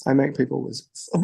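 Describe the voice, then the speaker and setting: This is a man with a gravelly, raspy edge and a slightly high voice, talking as an excited podcast guest. He is playful and quick to laugh, and he keeps slipping into a theatrical, mock-formal wizard persona between casual filler-heavy chat. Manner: upbeat and playful, many "like" fillers, laughs often.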